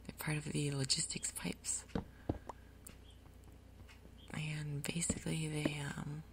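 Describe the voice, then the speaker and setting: A woman giving soft, breathy, whispered ASMR commentary on a game, murmuring her thoughts aloud in an unhurried way. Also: low-pitched, flat delivery, noisy background. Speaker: gaming video host.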